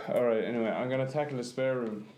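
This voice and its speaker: Man playing an easygoing, low-key Irish man. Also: brisk, lightly acted. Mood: mild, resigned. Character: mild, easygoing, gently disapproving Irish man. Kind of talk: naturalistic radio drama dialogue.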